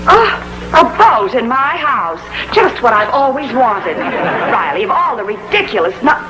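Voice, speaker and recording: A fed up american sitcom wife from the 50s, high voice swinging up and down, scolding. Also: female voice, big pitch swings, a little slow, very noisy. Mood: sarcastic. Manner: sarcastic, scolding. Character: exasperated, firm sitcom wife and mother. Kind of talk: 1950s sitcom acting.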